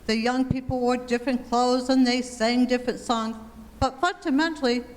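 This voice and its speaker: Woman acting wry high-voiced sitcom mother, reverberant hall. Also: steady tone, natural voice. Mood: wry, reasoning. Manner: brisk, wry. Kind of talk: old-time radio comedy acting. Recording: reverberant hall, faint noise.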